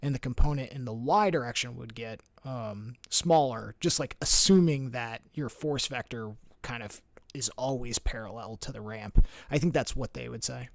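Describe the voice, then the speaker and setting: A man's voice: mid-range voice, normal speed, vocal fry, hardly any pauses, no room sound. Man tutoring casually, dry close sound. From United States. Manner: thinks aloud with many fillers and restarts. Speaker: math and physics tutor. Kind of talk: casual screen-share tutoring.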